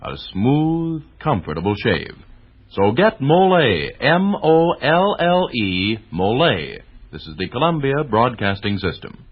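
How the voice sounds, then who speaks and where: Animated, husky vintage radio pitchman. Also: unhurried. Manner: punchy, emphatic salesman delivery. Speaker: commercial announcer. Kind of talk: 1940s radio sponsor pitch. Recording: dull old radio recording.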